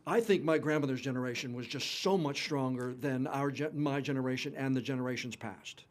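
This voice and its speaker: A man's voice: medium pitch, brisk. A man interviewing a guest, speaking attentively and backing him up with frequent short agreements. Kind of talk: interview conversation.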